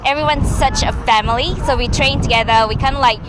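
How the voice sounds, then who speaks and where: Chatty woman, slightly high, outdoors. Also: fast talker. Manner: chatty, uses "like" and "so" a lot. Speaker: interviewee, dragon boat paddler. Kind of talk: casual interview answers. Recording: outdoor interview with traffic noise.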